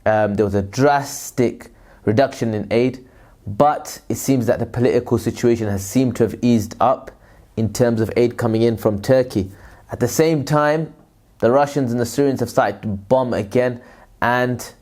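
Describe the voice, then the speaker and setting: A man's voice: mid-range voice, darkish tone. A man who works in aid, answering viewers' questions in a casual vlog, with a loose, rambling delivery full of fillers and religious phrases. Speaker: aid worker and vlogger.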